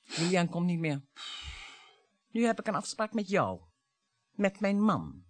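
An actress playing a bitter, mocking middle-aged wife in a radio play, with a taunting, theatrical manner. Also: female voice, on the low side, very animated, measured pace, no echo. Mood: sardonic.

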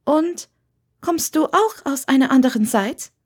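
A woman narrator acts out a young witch in an audiobook story. She sounds curious and puzzled, pitched noticeably high, with a hesitant, faltering delivery that stammers at times. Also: lively intonation, a little slow, darkish tone. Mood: curious, flustered. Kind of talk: audiobook character dialogue.

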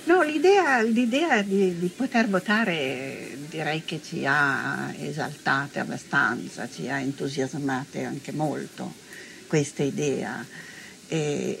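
An older woman shares recollections conversationally. Her voice is low and creaky, and she halts as she goes. A faint noise sits under the recording. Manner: halting, conversational. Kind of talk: spoken recollection. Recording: faintly noisy archival interview.

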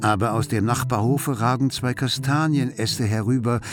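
A man's voice: medium pitch, steady tone, moderate pace, over music, lightly acted. A man narrating a literary radio play in an observant, composed way.

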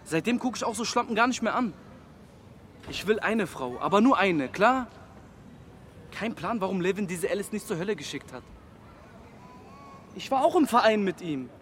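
An earnest, macho young street athlete in a radio drama. His voice is high for a male and slangy, with faint outdoor noise behind. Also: lively intonation, normal speed, pauses often, fairly bright tone. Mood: earnest, emphatic. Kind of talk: slangy interview-style radio drama monologue.

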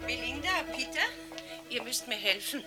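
An actress playing an anxious, loving mother of a poor family, bustling and expressive in a radio play. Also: a little high, normal speed, over loud music, natural voice. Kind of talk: 1960s German radio play acting.

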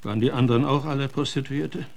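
An actor playing a seasoned, frank frontier town doctor in a German radio drama, matter-of-fact in manner. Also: male voice, mid-range voice, fairly even intonation, quick pace, creaky, darkish tone, natural voice. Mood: clinical, pondering.

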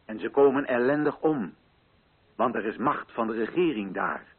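A man playing an earnest colonial official who addresses local chiefs in solemn, measured, theatrical oratory, as in an old radio drama. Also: medium pitch, expressive, husky. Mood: earnest, admonishing. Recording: muffled lo-fi old radio recording.

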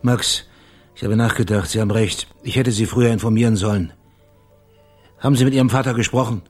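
An actor playing a stern, weary investigator in a German radio crime drama, with a husky, raspy voice and a terse, grave manner. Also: male voice, lowish voice, steady tone, a little fast, soft background music. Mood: urgent. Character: stern, weary male investigator pressing suspects.

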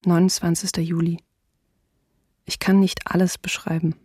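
Shaken diarist, very even delivery, husky and dry, close mic radio play. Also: female voice, fairly low pitch, moderate pace, no room sound. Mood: bleak, bewildered. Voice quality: husky, creaky. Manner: even, restrained. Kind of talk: radio docudrama diary reading.

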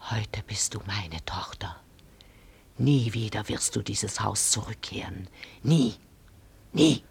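Vengeful old mother, rasping and husky. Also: extremely low pitch, slow, a little mellow, heavily acted. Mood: bitter fury. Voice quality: hoarse, breathy half-whisper. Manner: intense, commanding, scornful. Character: vengeful, hard older mother, a former slave. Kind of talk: radio play acting.

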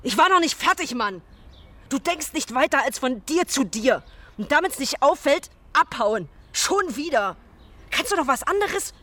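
A woman's voice: sits high, sing-song, moderate pace, bright, forceful tone, exaggerated voice. An actress playing a blunt, slangy young woman who is hurt and cutting toward her boyfriend in a naturalistic radio drama. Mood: angry, scornful. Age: young adult.

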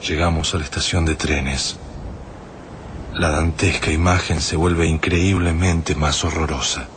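A somber, haunted traveller delivering a radio drama monologue. His Argentine voice is extremely deep and grave, with faint ambient noise behind it. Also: steady tone, unhurried, a bit bright. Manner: grave, literary. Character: haunted, somber male traveller recalling a devastated city.

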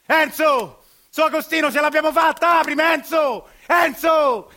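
A man's voice: very high-pitched, a little slow, voice pushed up, heavily acted. A desperate Roman father. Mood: frantic. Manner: pleading, shouting through a door. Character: desperate middle-aged father locked out.

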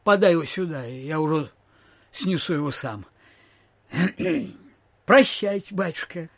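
An actor playing an old, miserly landowner in an audio play, muttering and pleading, repeating himself in a husky, wheezy voice. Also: male voice, on the high side, lively intonation, unhurried, a bit bright, dry recording. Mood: bossy, muttering. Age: older. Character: shrivelled old miserly landowner, wheedling and fussy.

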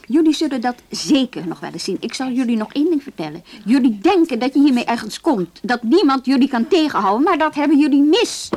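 Female police inspector, fairly high voice, weary yet firm and patient, radio drama, slightly noisy recording. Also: lively intonation, fairly quick, few pauses, a little mellow. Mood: stern, exasperated. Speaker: actor in a radio play. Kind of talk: Dutch radio play acting.

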